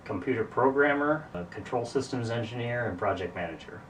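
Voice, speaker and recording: A man in his fifties, the host's father, answering in a dry, terse, deadpan way. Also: mid-range voice, brisk. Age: 50s. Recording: distant mic with faint hiss.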